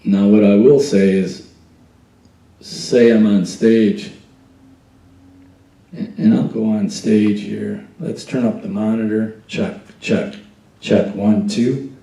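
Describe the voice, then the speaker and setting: Slow-talking man teaching a sound desk. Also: fairly low pitch, steady tone, vocal fry, mellow, reverberant, distant mic. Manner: patient, explains step by step, tests the mic with "check, one, two". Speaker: sound board trainer. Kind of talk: hands-on technical instruction. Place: church sound booth, indoors.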